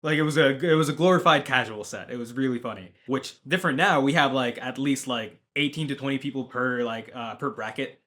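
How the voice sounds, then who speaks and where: A man's voice: mid-range voice, a little fast, hardly any pauses, fairly bright tone. A young man telling stories in a documentary interview, a laid-back tournament organizer.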